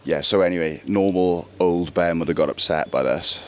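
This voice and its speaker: A young man with a deep voice, chatting earnestly to camera in a casual vlog. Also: normal speed. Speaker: vlogger. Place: indoors, then outdoors by a pool.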